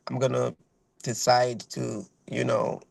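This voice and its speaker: A Nigerian man in his thirties talks over a video call, rambling with fillers and repeating himself as he tells his story. His voice is heavily gravelly, with a flat, even melody. The sound is dry and close. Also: mid-range voice, slow, dry recording. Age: 30s. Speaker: guest violinist.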